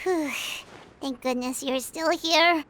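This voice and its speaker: A woman's voice: high voice, slow, background noise. A woman voicing a chatty little fairy companion who talks about herself in the third person. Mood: chirpy.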